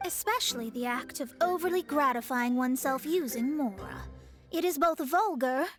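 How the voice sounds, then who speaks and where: A proud young astrologer from an anime game. The voice is high and girlish, with haughty, formal phrasing and careful wording. Music is clearly audible behind it. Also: moderate pace, a bit bright. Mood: haughty, dismissive.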